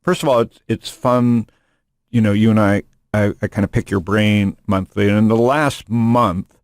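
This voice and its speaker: A man's voice: lowish voice, fairly even intonation, normal speed, clean tone, dry recording, clean studio sound. A man hosting a podcast in casual chat, rambling and joking, often restarting his sentences. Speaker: podcast host. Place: studio room.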